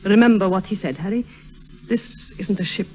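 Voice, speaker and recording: Actress as defiant young woman, grave and dramatic, medium pitch, old radio melodrama, faint noise. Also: normal speed, warm tone, natural voice. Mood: grave, resolute. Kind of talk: 1950s radio melodrama acting. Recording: noisy vintage radio recording.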